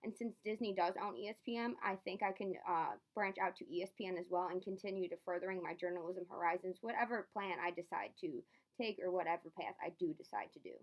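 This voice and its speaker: A woman's voice: on the low side, fairly even intonation, quick pace. A young adult woman, a student, politely and earnestly answering questions as a candidate in a phone interview.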